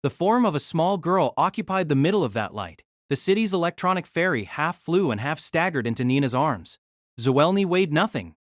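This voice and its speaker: Animated woman narrating a novel. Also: sits low, moderate pace, no echo, studio quality.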